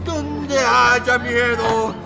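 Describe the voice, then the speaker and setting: A deranged male killer in a true-crime audio drama. His voice sits high for a man and he speaks slowly, with eerie pious devotion. Music runs clearly behind him. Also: fairly even intonation, heavily acted. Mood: eerie fervour. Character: deranged male serial killer, soft-spoken, fanatically pious, obsessed with mother.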